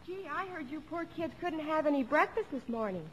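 Smug high-voiced little girl. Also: lively intonation, a little fast. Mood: gloating. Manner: taunting, mock-sweet. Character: smug, gloating young girl, teasing her siblings. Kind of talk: 1950s radio acting. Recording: noisy 1950s radio broadcast.

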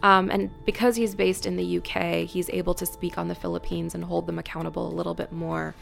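A woman's voice: fairly low pitch, little pitch movement, fairly quick, vocal fry, soft background music. A young American woman from Maryland giving a personal spoken account of her life and advocacy work. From United States, Maryland near Washington DC. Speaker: advocacy program assistant and youth trainer.

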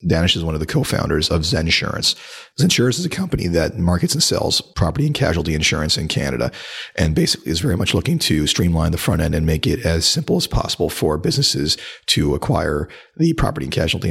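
A man hosting a podcast interview, his voice raspy and hoarse as he is losing it to a sore throat, talking in a quick, conversational way. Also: extremely low pitch, big pitch swings, fairly bright tone. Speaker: podcast host. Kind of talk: casual interview chat. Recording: echoey room.

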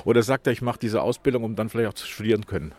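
A man's voice: fairly low pitch, rapid-fire, darkish tone. Chatty male fair reporter. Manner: chatty, casual questioning. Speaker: radio reporter. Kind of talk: on-site radio reportage. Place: job fair at a vocational school.

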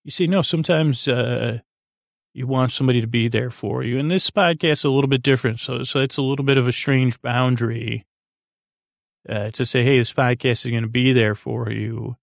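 Male podcast host for bedtime rambling: tired, even-toned delivery with vocal fry, halting and meandering. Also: mid-range voice, dry recording, studio quality. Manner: meandering, halting, repeats words. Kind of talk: rambling bedtime monologue to help listeners sleep.

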